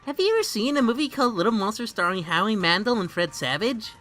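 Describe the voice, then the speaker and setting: A woman's voice: mid-range voice, animated, quick pace, fairly bright tone, faint music behind, natural voice. A woman voicing an earnest, nerdy young boy who teases his best friend in an amateur comic dub. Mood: teasing.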